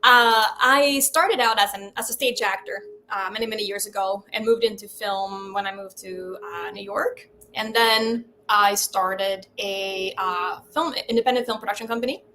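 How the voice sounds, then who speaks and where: A middle-aged Swedish-born woman founded a film festival and is the guest in an interview, with Swedish as her first language. She weighs her answers thoughtfully and pauses often on fillers. Her pitch sits in the ordinary middle range for a woman. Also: steady tone, pushed and bright, slight background noise. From Sweden. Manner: thoughtful, with frequent fillers and restarts.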